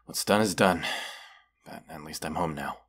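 A man's voice: extremely low pitch, a bit bright, husky, full character voice. A man playing a proud, menacing, weary dragon who distrusts humans, talking haughtily in a fantasy audio roleplay. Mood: weary, bitter. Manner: slow, deliberate, haughty, with long pauses. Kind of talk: scripted audio roleplay monologue.

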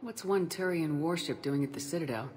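A woman's voice: low voice, brisk, darkish tone, natural voice. A resolute female military commander from a video game, acted by a woman. Her delivery is firm and earnest with compassion underneath, and the voice rings in a long reverb. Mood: curious. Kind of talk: video game cutscene dialogue.

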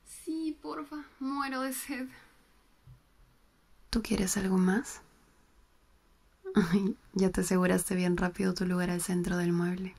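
A woman's voice: medium pitch, steady tone, takes it slowly, long pauses. A woman playing a giggly whispering flirt. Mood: casual. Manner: soft close whispering, giggly. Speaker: ASMR roleplay performer. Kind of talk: whispered ASMR roleplay.

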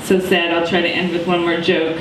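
A middle-aged woman at an open mic in a small coffee shop, telling jokes and stories in a rambling, deadpan way on a handheld mic. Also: on the low side, fairly quick, heavy background noise. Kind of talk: stand-up comedy set. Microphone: handheld mic, far camera. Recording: echoey room from far off.

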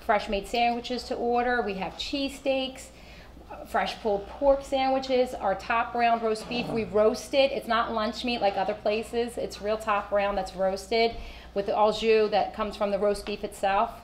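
A woman who co-runs a bakery, appearing as a guest and briskly, enthusiastically listing what her shop offers. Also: fairly high pitch, steady tone, a little hiss or hum. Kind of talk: promotional pitch in interview. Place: small studio with banners.

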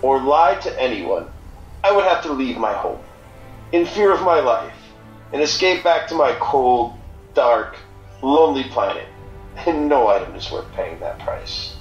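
A man's voice: medium pitch, big pitch swings, echoey room, dull audio, faint music behind. Man voicing a theatrical, scheming alien fence. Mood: mysterious, scheming. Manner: halting, riddling, deliberate storytelling. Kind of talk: audio drama acting.

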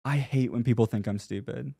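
A man's voice: mid-range voice, fairly quick, vocal fry, dark tone, breathy edge, no echo. Guy guest on a podcast, teasing, asks stuff, medium voice, dry sound. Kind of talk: casual chat.

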